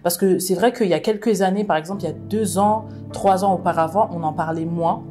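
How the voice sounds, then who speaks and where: A woman's voice: lowish voice, brisk, dark tone, faint music behind. A woman from France, a book club founder, speaking earnestly in a casual interview, often restarting her sentences. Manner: earnest, frequent restarts and affirming "exactement".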